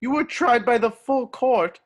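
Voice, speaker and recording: An actor playing a kindly wizard father, warm but hesitant and awkward, in a table read. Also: very high-pitched, expressive, measured pace, heavily acted. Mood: delighted. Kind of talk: amateur online table read of a screenplay.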